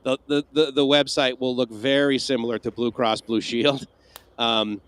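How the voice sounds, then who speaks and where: A man's voice: mid-range voice, flat delivery, moderate pace, fairly bright tone, clear, resonant tone, no room sound. A man co-hosting a livestream Q&A, chatting casually and rambling with asides and restarts. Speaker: livestream co-host. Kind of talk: casual Q&A chat.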